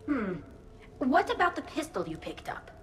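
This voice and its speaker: An actress playing an earnest, playful young female alien in a video game, with a wistful, gently scolding manner. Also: high voice, sing-song, moderate pace, roomy sound, slight background noise. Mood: thoughtful.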